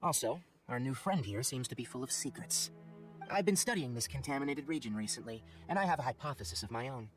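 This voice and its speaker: A man playing a calm, expert young forest ranger and mentor in a video game, speaking in a measured, articulate, instructive way. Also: mid-range voice, natural voice. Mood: calm, careful. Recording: game music behind the voice.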